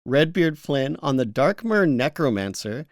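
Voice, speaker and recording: A man co-hosting a podcast in casual chat, speaking haltingly and often restarting his sentences. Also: on the high side, big pitch swings, no room sound, studio quality. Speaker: podcast co-host.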